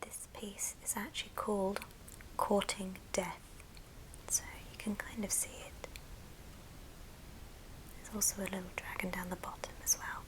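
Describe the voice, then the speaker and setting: A woman speaking in a breathy, unvoiced whisper, gently presenting items in an ASMR show and tell with pauses between short phrases. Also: medium pitch, little pitch movement, rough recording. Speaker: ASMR video host.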